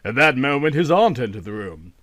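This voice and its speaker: A man narrating a literary story from a script in a dramatic, expressive storytelling manner. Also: medium pitch, brisk, no room sound, clean studio sound. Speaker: audiobook narrator.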